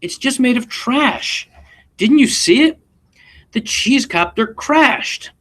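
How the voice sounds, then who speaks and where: Guy doing a sad little girl inventor from a picture book, high plaintive voice, totally discouraged. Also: expressive, takes it slowly, a bit bright, heavily acted. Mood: dejected. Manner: dejected, plaintive.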